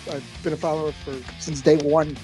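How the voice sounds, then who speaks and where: A man from Spain, a guest on a podcast, friendly and enthusiastic, talking a bit haltingly. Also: fairly high pitch, level tone, warm tone, faint music behind, low-quality recording. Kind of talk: casual podcast chat.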